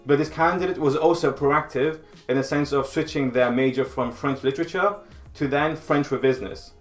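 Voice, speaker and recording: A man's voice: mid-range voice, steady tone, moderate pace. A man giving direct, advisory career coaching in a scripted instructional video. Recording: roomy sound with faint music.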